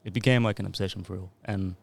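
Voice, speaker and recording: A man's voice: deep voice, steady tone, quick pace, gravelly, husky. A man in his thirties, a music producer and DJ, talking in a laid-back, rambling way into a podcast mic. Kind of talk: casual podcast interview.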